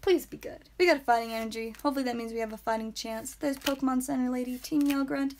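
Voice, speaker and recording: A woman hosting a live card pack-opening stream, chatting playfully and saying sound effects aloud, with a calming voice. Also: sits high, fast, slight background noise. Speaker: trading card stream host. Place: indoor room with whiteboard.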